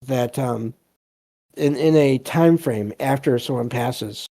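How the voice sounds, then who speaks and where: A man hosting a podcast, reading stories aloud and commenting casually in a halting, reflective way. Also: medium pitch, gravelly, warm tone. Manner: halting, hesitant, reflective delivery. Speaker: podcast host.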